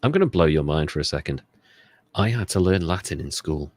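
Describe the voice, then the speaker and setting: Low-pitched man with animated delivery. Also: a little fast. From Wales. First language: Welsh. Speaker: podcast co-host. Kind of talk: casual comedic chat.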